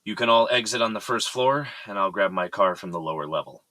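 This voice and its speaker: A man's voice: fairly low pitch, expressive, fairly quick, bright, forceful tone, no room sound. An actor playing a composed, evasive but polite businessman in an audio drama. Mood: polite, strained.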